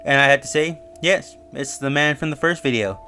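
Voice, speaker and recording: A man's voice: medium pitch, moderate pace, bright, forceful tone, soft background music. A man hosting his own channel, casually recapping and reviewing a horror web series, talking loosely with fillers and self-corrections.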